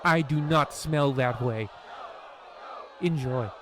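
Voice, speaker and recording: A man's voice: mid-range voice, slow pace, over music, lightly acted. A man playing a grumbling, dismissive fellow in a comedy radio play. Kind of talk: scripted radio play acting. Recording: very noisy, busy crowd ambience.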